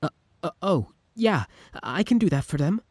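A man's voice: high voice, big pitch swings, normal speed, a little mellow, breathy edge, dry recording, heavily acted. A man playing a timid, lovestruck teenage schoolboy in an audio roleplay, stammering and hesitant. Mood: nervous, flustered. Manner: stammering, hesitant, breathless eagerness. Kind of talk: scripted ASMR roleplay acting.

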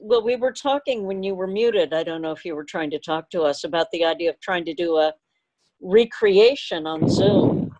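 A woman's voice: fairly low pitch, normal speed, darkish tone, lo-fi sound. A woman chatting casually as she hosts a club meeting over a video call. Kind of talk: casual chat.

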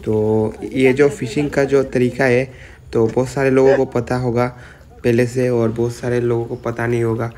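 Flat-voiced young man vlogging by a river. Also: mid-range voice, normal speed. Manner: rambling, lots of filler words. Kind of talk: casual vlog narration. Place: outdoors by a jungle river.

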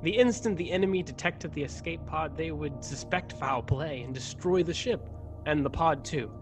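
A young man playing a resolute, self-sacrificing starship ensign in a science-fiction radio play, speaking formally and with measured phrasing. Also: on the high side, over music, close to an everyday voice. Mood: resigned. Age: young adult. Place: indoors at home, video call. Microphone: desk condenser microphone, close.

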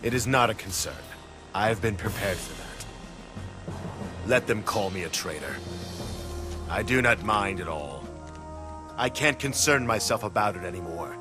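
A man's voice: fairly low pitch, lively intonation, a little slow, pauses often, music in the background. An actor playing a proud, bold middle-aged warlord and father in a video game, declamatory and commanding. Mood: calm, resolute. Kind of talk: dramatic English video game cutscene acting.

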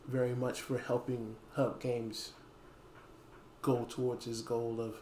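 A man in his thirties talking casually to camera as a store owner making an announcement, pausing often mid-phrase. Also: mid-range voice, little pitch movement, darkish tone. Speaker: small game store owner and video host. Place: dim indoor room with wooden floor. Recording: echoey room, faint background noise.

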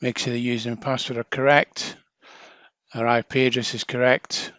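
A man narrating a step-by-step software tutorial in an informal, chatty way, talking through each action as an instructor. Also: mid-range voice, little pitch movement, normal speed, no room sound. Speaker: training video instructor.

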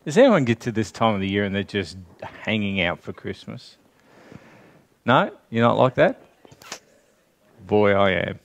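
A man in his forties with an Australian accent, preaching a sermon in a large church hall, chatting with the congregation and stressing key words slowly. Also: on the low side, gravelly. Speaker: pastor.

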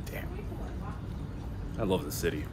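A man's voice: rumbling low, unhurried. Upbeat guy in his 30s vlogging outside, city traffic noise around him. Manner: chatty, upbeat, talks with his hands. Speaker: vlogger host. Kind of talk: casual vlog to camera. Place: outdoors on a city plaza and rooftop. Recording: outdoor street noise behind. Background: traffic and city ambience.

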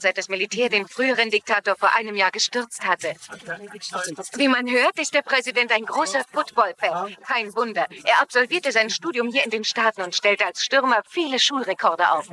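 A woman playing a brisk television news reporter in a dubbed crime drama. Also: fairly high pitch, bright, forceful tone.

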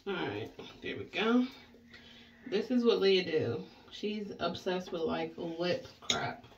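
A woman's voice: lowish voice, lively intonation, takes it slowly, gravelly. A woman in her thirties, a mother chatting casually at home in a blunt, teasing way. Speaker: mother and family vlogger styling her daughter's hair. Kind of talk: casual chat. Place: small room at home, indoors. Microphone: camera or phone a short distance away. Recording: roomy, muffled, distant, faint noise.

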